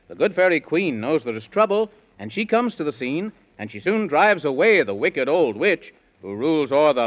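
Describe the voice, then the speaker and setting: A man narrating a rhyming children's tale in a theatrical, sing-song manner, in 1930s radio style. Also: a little high. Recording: muffled 1930s radio recording.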